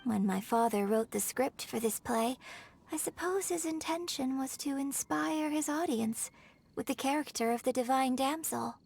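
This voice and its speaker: Poised, higher-voiced young storyteller. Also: female voice, creaky, a little mellow, breathy edge, exaggerated voice. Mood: thoughtful. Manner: measured, eloquent, theatrical storytelling. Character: poised, eloquent young woman opera performer and storyteller. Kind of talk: video game character acting. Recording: background music.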